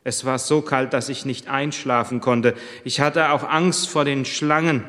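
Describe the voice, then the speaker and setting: Distressed pregnant servant woman as a preacher puts her on, heartfelt first-person telling, unhurried, long church echo. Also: medium pitch, fairly even intonation, a bit bright, natural voice. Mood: despairing. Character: pregnant young female servant, desperate.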